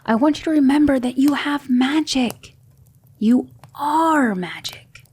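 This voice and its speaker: A woman playing a gentle, patient demon mentor in an ASMR roleplay, coaxing her student with soft, deliberate instruction that often drops toward a whisper. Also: high-pitched. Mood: firm, encouraging. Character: gentle female demon mentor teaching magic, patient, reassuring.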